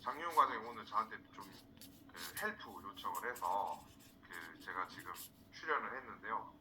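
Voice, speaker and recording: A man from South Korea teaching a live online class in an informal, halting way, repeating words for emphasis. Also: on the low side, lively intonation, bright, forceful tone, a little hiss or hum, rough recording. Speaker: instructor, marketing trainer. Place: indoors, online screen-share session.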